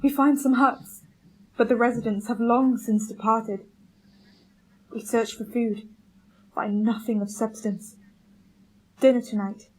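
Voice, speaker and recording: A woman playing a starving, resolute male expedition captain in a radio play, reading out a journal in a clipped, solemn, halting way. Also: a little high, darkish tone, husky, poor audio.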